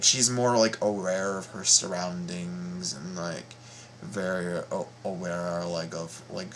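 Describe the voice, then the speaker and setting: A man giving casual reaction commentary, explaining things in a halting, repetitive way. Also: deep voice, slight background noise. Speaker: reaction video host.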